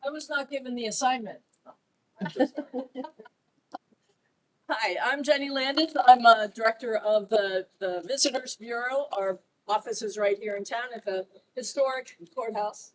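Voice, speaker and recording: A woman's voice: fairly high pitch, a little slow, long pauses, far from the mic, poor audio. A woman, a visitors bureau director, speaking in a chatty, self-deprecating way outdoors at a courthouse ceremony. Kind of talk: public speech at outdoor ceremony.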